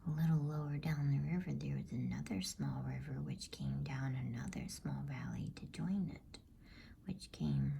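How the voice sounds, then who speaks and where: A woman reading a bedtime story in a soothing, breathy ASMR whisper with deliberate pauses. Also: very deep voice, steady tone, warm tone. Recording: faint background noise.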